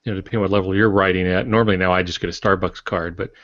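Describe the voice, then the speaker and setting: A man's voice: deep voice, steady tone, racing, a bit bright. A man speaking as an author on a podcast, explaining things frankly in a casual, colloquial way. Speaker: author, podcast guest or panelist. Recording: dull, roomy podcast audio.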